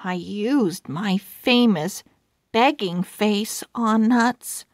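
A woman reading a children's book aloud as a storyteller, in a deliberate, expressive manner with careful enunciation. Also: mid-range voice, no echo, studio quality.

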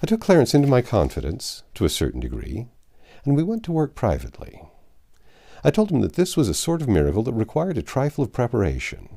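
An older man narrates a classic novel aloud. His voice is low and mellow, with lively, swooping intonation. He phrases it like a storyteller, pausing for dramatic effect. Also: creaky. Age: 50s or 60s. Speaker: audiobook narrator. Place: small home library, indoors.

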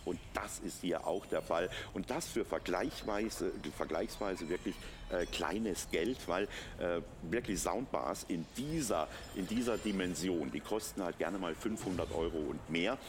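A man's voice: low voice, a little slow, slight background noise. A middle-aged man presenting a product on a shopping channel in a chatty, enthusiastic, unscripted way with emphatic repetition. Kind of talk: unscripted live product demonstration. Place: TV shopping studio.

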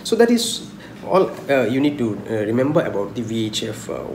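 A man's voice: mid-range voice, big pitch swings. Young male lecturer in an echoey room. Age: young adult. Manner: halting, repetitive, poses questions and answers them. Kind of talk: informal technical lecture. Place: small indoor room at home. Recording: roomy echo, clear background noise.

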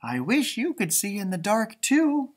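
Young female storybook bat played by a man: earnest, eager, breathless, lifted swooping pitch. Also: huge pitch swings, unhurried, heavily acted. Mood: wistful. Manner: eager, breathless, stammering when worried.

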